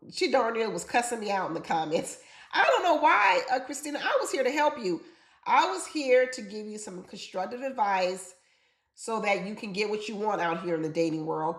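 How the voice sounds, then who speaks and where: A woman's voice: mid-range voice, echoey room. A woman chatting straight to her viewers in an opinionated, chatty way, sounding congested from being sick. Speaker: reality TV commentator. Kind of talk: casual commentary chat.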